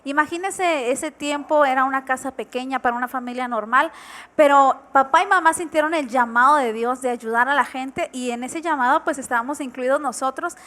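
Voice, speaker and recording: A young woman with a bright, high-pitched voice co-hosts a church television program. She welcomes viewers and tells a family story in an earnest, storytelling manner. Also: brisk. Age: 20s. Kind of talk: warm testimonial talk on TV.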